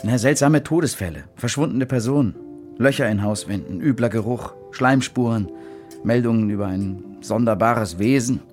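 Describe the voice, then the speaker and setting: A male actor plays a driven police detective on a monster hunt in a radio drama. He sounds urgent and insistent in a medium-pitched voice. Faint music runs behind him. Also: moderate pace, darkish tone, close to an everyday voice. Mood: urgent, conspiratorial. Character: intense, obsessed male police investigator hunting a monster. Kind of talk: German radio drama acting.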